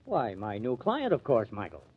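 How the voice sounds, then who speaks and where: Glib, animated press agent. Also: male voice, mid-range voice, normal speed, slightly rough. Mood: breezy, boastful. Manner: breezy, glib, self-important. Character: glib, smitten small-time press agent. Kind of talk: 1950s radio drama acting. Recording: dull lo-fi old radio recording.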